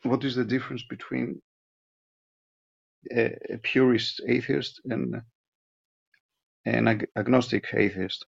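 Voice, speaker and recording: A man with a creaky, raspy, lowish voice delivers a pedantic monologue as a voicemail caller. He stumbles and repeats himself as he lectures. The sound is dull and lo-fi. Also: dry recording. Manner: halting, repetitive, pedantic. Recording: dry, muffled lo-fi voicemail.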